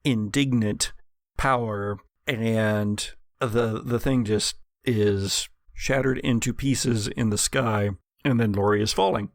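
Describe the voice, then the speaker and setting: A man's voice: on the low side, steady tone, slow, dry recording, studio quality. A man running a tabletop roleplaying game as game master, moving between dramatic scene narration and casual rulings.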